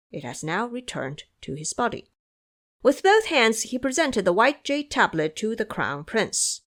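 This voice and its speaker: A woman's voice: a little high, a bit bright. A woman narrator reading scripted storytelling narration for an audio drama.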